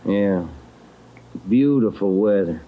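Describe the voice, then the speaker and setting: An actor playing a wry, easygoing police detective partner in conversational 1940s radio acting. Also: male voice, on the low side, expressive, slow, phone-quality sound. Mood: wry, weary. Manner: dry, grumbling, conversational. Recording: very noisy old radio drama recording.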